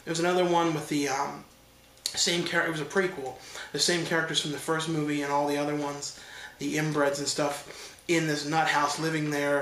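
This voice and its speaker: A man's voice: on the high side, bright, forceful tone, reverberant, a little hiss or hum, low-quality recording. A man with a stuffed-up nose from allergies giving a loose, rambling casual review, with many fillers and restarts. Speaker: horror film reviewer.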